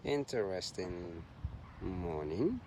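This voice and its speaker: A man vlogging outdoors on a park trail while he jogs, musing softly with many pauses. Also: low-pitched, very animated. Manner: soft-spoken, musing, halting with pauses. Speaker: vlogger and long-distance runner. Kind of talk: casual reflective vlog while out jogging. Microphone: handheld camera, self-filmed. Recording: outdoor recording with noticeable background noise.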